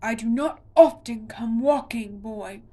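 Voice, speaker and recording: A woman's voice: a little slow, warm tone. A woman reading aloud, voicing a towering ancient tree monster that roars and declaims. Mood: stern. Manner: booming, declaiming. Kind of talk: storybook reading.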